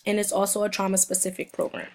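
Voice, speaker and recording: Young woman, hoarse with a cold, mid-pitched, reading a class presentation off her notes. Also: monotone. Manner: reads from prepared notes, halting in places. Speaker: student presenter.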